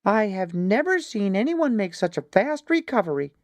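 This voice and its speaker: School nurse, female, storybook male narrator performing: raised pitch, earnest amazement, dry close sound. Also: very animated, moderate pace, no echo. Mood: amazed.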